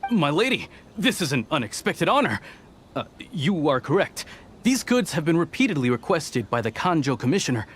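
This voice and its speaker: A man's voice: on the high side, very animated, moderate pace, fairly bright tone, a little hiss or hum. A man voicing a male merchant in a video game who speaks respectfully and a little flustered. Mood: deferential.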